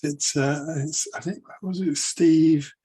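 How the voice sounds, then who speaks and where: A man co-hosting a podcast, asking friendly, halting questions with fillers. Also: fairly high pitch, fairly even intonation, mellow, slightly rough. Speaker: podcast co-host. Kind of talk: casual interview questions.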